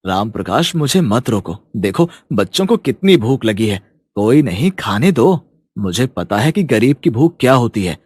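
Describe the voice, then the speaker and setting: A man voicing a kind, soft-hearted young man in a storybook tale, earnest and respectful. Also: mid-range voice, very animated, normal speed, slightly rough, dry recording, clean studio sound. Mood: compassionate. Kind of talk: storybook character voice.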